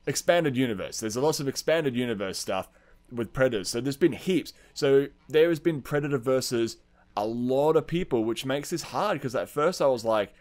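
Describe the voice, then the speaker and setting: Male podcast co-host, mid-pitched, chatty and informal, blunt and happy to swear. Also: lively intonation, moderate pace, darkish tone. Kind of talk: casual chat.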